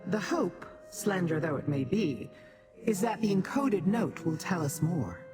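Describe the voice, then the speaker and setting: An actress playing a haughty, businesslike woman in a fantasy video game, speaking in a measured, formal and commanding way. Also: lowish voice, animated, over music. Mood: grave, measured. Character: stern, imperious female schemer, haughty and businesslike.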